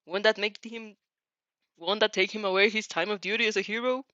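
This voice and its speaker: A woman's voice: medium pitch, fairly even intonation, fairly quick, pushed and bright, no room sound, muffled recording. A woman hosting a casual fanfiction read-aloud, hesitant and giggly, often correcting herself as she goes. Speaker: host of fanfiction reading show.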